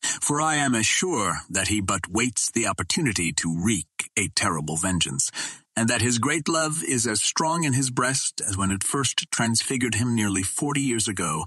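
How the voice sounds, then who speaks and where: A man reads an audiobook in a measured, steady way. His voice sits low and has a husky, slightly breathy texture. The sound is dry and close, with no room around it. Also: dry recording. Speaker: audiobook narrator. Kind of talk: scripted audiobook narration.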